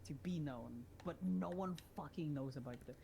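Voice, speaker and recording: A woman chatting casually on a live stream, rambling in an animated way, repeating phrases and swearing freely. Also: deep voice, normal speed, creaky, noisy background. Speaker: streamer telling friends a story idea. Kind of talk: casual chat on a voice call.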